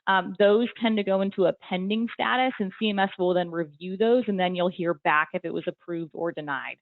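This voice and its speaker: A woman's voice: medium pitch, no room sound. A young adult woman presenting a webinar in a friendly, casual way, with lots of ums and you-knows, over video call audio. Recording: tinny phone-like webinar audio.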